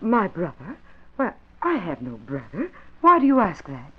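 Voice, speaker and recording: A woman's voice: lowish voice, wildly swooping pitch, unhurried, husky, exaggerated voice. A woman playing an affected, evasive young aspiring actress in an old-time radio drama, with theatrical delivery. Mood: indignant, guarded. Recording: dull vintage radio recording.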